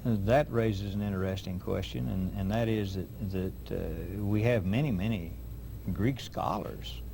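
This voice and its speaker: A man hosting an interview, asking questions and telling an anecdote in a measured, deliberate way. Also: low-pitched, creaky, mellow, a little hiss or hum. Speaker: host interviewer.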